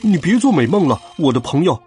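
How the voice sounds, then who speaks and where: A stubborn, suspicious young storybook sidekick, as a man narrator puts him on, blustering loudly. The pitch is raised and very animated, with a rough, husky tone. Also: a little fast, faint music behind, full character voice. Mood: boastful. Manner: loud, blustering.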